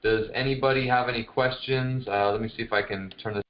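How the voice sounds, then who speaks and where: A man's voice: mid-range voice, steady tone, brisk. A man speaking as a fitness coach hosting a group call, chatting casually in an informal, encouraging way over lo-fi call audio. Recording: dull, lo-fi conference call audio. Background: no music, voice on a group phone call.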